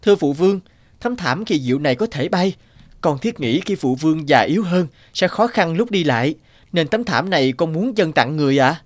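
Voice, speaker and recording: A man voicing a gentle, humble young prince in a fairy-tale cartoon, earnest and polite. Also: on the high side, lively intonation, brisk. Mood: respectful.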